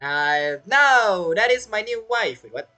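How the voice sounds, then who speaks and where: A woman's voice: mid-range voice, animated, unhurried, sharp tone, faint music behind. A woman streamer giving casual reaction commentary on a game, chatty, laughing often and speaking broken English.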